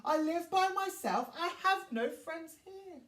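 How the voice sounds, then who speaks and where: A woman's voice: very high voice, expressive, pitched above the performer's own voice. A woman voicing an eager, emotional young rhino in a children's storybook, exclaiming and drawing out words. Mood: wistful, pleading. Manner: exclaiming, emotional, drawn-out delivery. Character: young Javan rhino, eager, excitable, easily saddened. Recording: echoey room, distant from mic.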